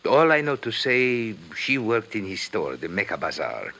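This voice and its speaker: Solemn low-voiced merchant, dramatically swooping. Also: male voice, big pitch swings, bright, forceful tone, full character voice. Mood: courteous. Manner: formal, deliberate, theatrical phrasing. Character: formal, courteous male oriental carpet merchant, solemn. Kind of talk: 1950s radio drama acting. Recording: noisy 1950s radio recording.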